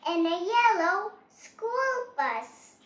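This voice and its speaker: Child storybook reader, very high voice, halting delivery, roomy echo. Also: very animated, fairly bright tone. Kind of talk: read-aloud of a picture storybook. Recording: roomy, echoing sound.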